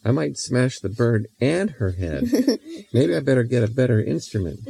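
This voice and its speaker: A man voicing a fretful, soft-hearted boy in a bedtime story, with a plaintive, whiny delivery. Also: medium pitch, very animated, moderate pace, gravelly, a little hiss or hum.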